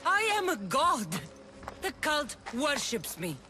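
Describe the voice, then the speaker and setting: A woman's voice: high-pitched, sing-song, slow pace, sharp tone, a little hiss or hum, exaggerated voice. A woman playing a proud, menacing young warrior raised by a cult in a dramatic video game, taunting and imperious. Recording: dramatic music behind.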